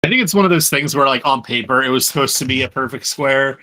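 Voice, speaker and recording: A man's voice: medium pitch, fast talker, bright, forceful tone. A man chatting casually as a podcast panelist, rambling and correcting himself as he goes, often saying "like". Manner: rambling, self-correcting, frequent "like". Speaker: podcast panelist and fan dub voice actor. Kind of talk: casual group chat.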